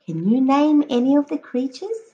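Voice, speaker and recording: A woman teacher reading a picture book aloud to children, expressively, addressing them directly and encouragingly with drawn-out emphatic words. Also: sits high, very animated, warm tone, lo-fi sound. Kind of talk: expressive children's read-aloud with prompting questions.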